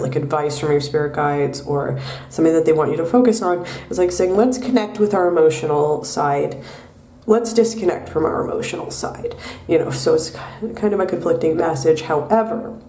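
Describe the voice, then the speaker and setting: A young woman giving a tarot reading in a soft, unhurried way with many pauses and ums, her voice nasal from a cold. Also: fairly low pitch, distant mic. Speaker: tarot reader. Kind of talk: pick-a-card tarot reading. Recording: echoey room, mic set back.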